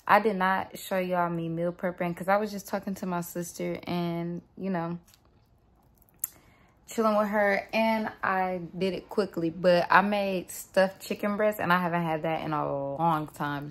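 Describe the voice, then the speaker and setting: A young woman talks to her phone camera from a room at home. Her voice sits a little low and she rambles in a relaxed, upbeat way, wishing her viewers well. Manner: rambling, upbeat, speaks blessings over viewers. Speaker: vlogger. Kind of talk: casual vlog chat to camera.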